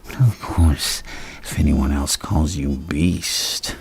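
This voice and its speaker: Crazy lovestruck supervillain asmr, super slow and halting, gravelly breathy whispery, creepy polite, bit of noise behind. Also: male voice, very deep voice, a little mellow, exaggerated voice. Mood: creepy, flirtatious. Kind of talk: ASMR villain roleplay.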